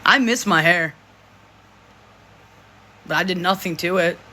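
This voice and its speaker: Vlogger in their 30s, self-described deep voice, forceful and emphatic, lots of restarts. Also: a little slow, a little hiss or hum. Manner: emphatic, repeats and restarts phrases. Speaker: vlogger speaking to supporters. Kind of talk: casual monologue to camera.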